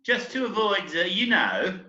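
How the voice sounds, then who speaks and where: Earnest, slightly flustered theatre compere, acted by a man, bright and high-pitched, roomy lo-fi sound. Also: very animated, measured pace, heavily acted. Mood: awkward, formal. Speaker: amateur actor in a remote group reading. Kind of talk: comic stage-play reading, addressing the audience. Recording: echoey, dull internet call recording.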